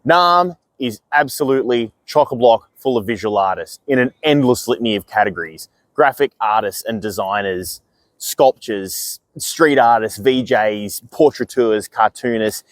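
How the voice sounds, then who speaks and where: A man's voice: on the low side, normal speed, no echo. A man hosting an interview show, moving from scripted narration into playful, wry conversation. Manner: articulate, wry, playful asides. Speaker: podcast host and interviewer. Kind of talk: scripted narration then casual interview chat.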